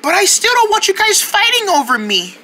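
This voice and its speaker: A woman voicing a sweet but exasperated young female cartoon character, squeaky, affectionate and pleading as she scolds. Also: very animated, fairly quick, bright, forceful tone, full character voice.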